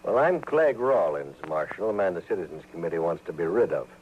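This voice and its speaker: Crooked frontier sheriff, folksy menace, dull and faintly hissy old radio recording. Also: male voice, deep voice, lively intonation, fairly quick. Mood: sly, sizing up. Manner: folksy, slyly threatening. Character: corrupt, smooth-talking, menacing frontier sheriff. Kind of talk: old-time radio western acting.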